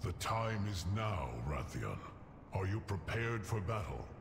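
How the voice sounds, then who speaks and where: An actor playing a grave, wise elder dragon leader in a video game, speaking in a solemn, measured way. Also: male voice, fairly low pitch, very animated, vocal fry, noisy background, heavily acted. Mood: solemn, urgent. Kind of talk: video game character acting.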